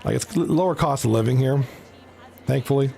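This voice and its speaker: Gravelly-voiced man on commentary. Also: mid-range voice, fairly quick, mellow. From Canada (Toronto). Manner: casual, chatty, talks to viewers by name. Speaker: streamer and league commentator. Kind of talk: live esports hockey commentary and chat.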